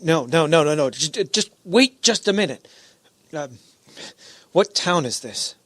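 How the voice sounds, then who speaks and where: A man's voice: a little high, animated, measured pace, a bit bright, no room sound. A man playing a stern, skeptical, logic-minded spaceship captain in a radio drama. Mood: flustered, insistent.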